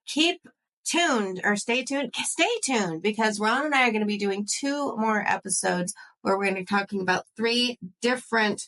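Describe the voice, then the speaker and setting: A young adult woman hosts a podcast with chatty enthusiasm, filling her talk with "like" and "um". Her pitch sits in the middle range. She sounds casual and upbeat throughout. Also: moderate pace, a bit bright, rough recording. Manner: enthusiastic, chatty, uses "like" a lot. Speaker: podcast host. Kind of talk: casual interview chat.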